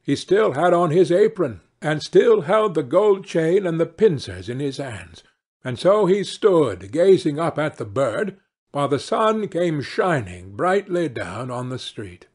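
A man reads a fairy tale as an audiobook narrator. His voice sits fairly high for a man, husky at the edges, and moves with animated intonation. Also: a little slow.

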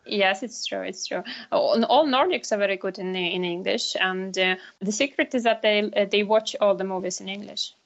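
A woman with a Ukrainian accent speaks as a guest in a conversational interview. Her mid-pitched voice has noticeable vocal fry, and she repeats words for emphasis. The audio is a little dull. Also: normal speed, hardly any pauses.

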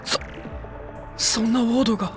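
An earnest schoolboy acted by a man in an amateur audio drama. He is formal and indignant, pitched very high, with faint music underneath. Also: steady tone, normal speed. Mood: shocked, indignant. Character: earnest, indignant schoolboy club junior challenging his leader.

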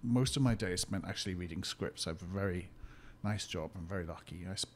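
A Welsh man with a fairly low voice explains his work steadily and at length, as an interview guest. A faint hiss of noise sits behind him in a small room. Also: fast. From Wales. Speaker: location consultant. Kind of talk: interview answers.

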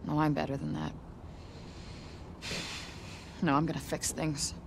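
A woman's voice: low-pitched, flat delivery, fast talker, creaky, noisy background. A woman playing a troubled, scrappy young woman in a video game, with a blunt, sarcastic manner. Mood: subdued, resolute. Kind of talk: naturalistic video game cutscene acting. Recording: music behind the voice.

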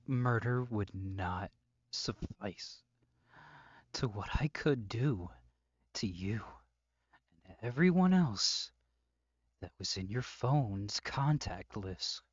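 Male actor as controlling, menacing young man for audio roleplay; unhurried, whisper-prone, mocking; close, dull-sounding recording. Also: on the low side, lively intonation, no echo. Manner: slow, deliberate, often dropping into breathy whispers. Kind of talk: ASMR-style audio roleplay drama.

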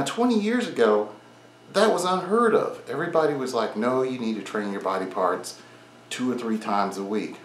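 A man in his forties talks to the camera from a home garage gym, low-pitched but swinging up and down a lot as he stresses his points. He is earnest and encouraging and circles back to the same advice. The room rings with echo, and a faint hum sits under his voice. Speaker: fitness vlogger. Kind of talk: direct-to-camera advice talk.

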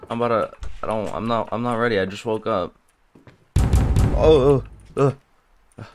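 A man's voice: fairly low pitch, moderate pace, over music. A man playing a horror game, commentating casually and nervously, talking to himself. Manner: jumpy, nervous, talks to himself. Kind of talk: casual let's play commentary.

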